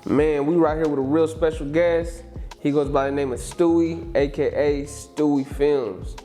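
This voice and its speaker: Relaxed slangy male podcast host. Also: fairly high pitch, faint music behind. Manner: relaxed, slangy, quick back-channel agreement. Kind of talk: casual interview hosting.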